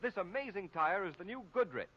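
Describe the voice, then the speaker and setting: A high-voiced, animated radio pitchman of the 1930s. He sells earnestly and emphatically, on a dull vintage broadcast recording. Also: fairly quick. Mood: earnest selling. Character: emphatic, earnest male tire-advertisement radio announcer. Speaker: announcer.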